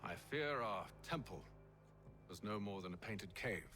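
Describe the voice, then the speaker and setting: A composed British gentleman at the head of a secret order in a game drama. His low voice moves expressively through formal, eloquent phrasing, with music behind it. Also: lively intonation, measured pace. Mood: solemn, commanding. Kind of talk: dramatic video game cutscene acting.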